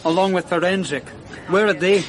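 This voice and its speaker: Guy doing a whiny young constable, high sing-song voice, street noise behind. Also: normal speed, close to an everyday voice. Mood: grumbling. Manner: whiny, grumbling. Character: grumbling young male constable munching biscuits. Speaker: police constable. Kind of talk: radio drama acting.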